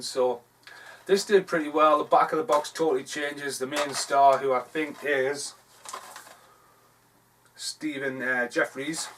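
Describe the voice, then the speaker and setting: A man's voice: mid-range voice, fairly bright tone, slight background noise. Rambling Geordie man reviewing films. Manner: rambling, offhand, self-correcting. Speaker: film reviewer. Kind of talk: casual video review, showing DVD covers. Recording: voice a bit far from the mic.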